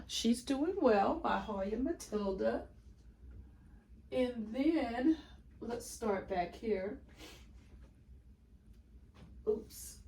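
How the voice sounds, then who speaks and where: Slow-talking congested woman vlogger. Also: on the high side, a little mellow. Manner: chatty, frequent ums and restarts. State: congested with a cold. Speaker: plant vlogger. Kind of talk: casual vlog tour.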